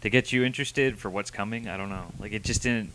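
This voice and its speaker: A man's voice: fairly low pitch, fast, sharp tone, a little hiss or hum, poor audio. A man co-hosting a review show, speaking in a loose, colloquial, noncommittal way. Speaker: co-host of a comic book review show. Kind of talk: casual chat.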